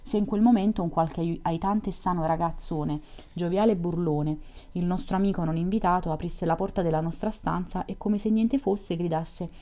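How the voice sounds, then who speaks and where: A woman reads the part of a lonely young man, a dreamer full of melancholy, who pours out long fervent confessions. His voice sits low and mellow in her reading. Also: moderate pace, few pauses, lightly acted. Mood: fervent lament.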